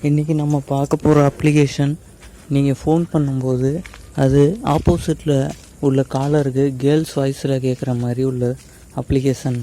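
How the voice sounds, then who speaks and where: A man's voice: mid-range voice, level tone, moderate pace, mellow, poor audio. A man gives a casual step-by-step tutorial, addressing viewers directly and mixing in English words. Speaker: tech tutorial host.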